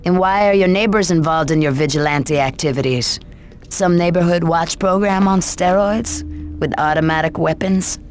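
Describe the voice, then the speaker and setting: A woman's voice: fairly low pitch, moderate pace, a little hiss or hum, lightly acted. A woman playing an accusatory, incredulous police interrogator in a video game, with a pointed, sarcastic manner. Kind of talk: video game cutscene acting.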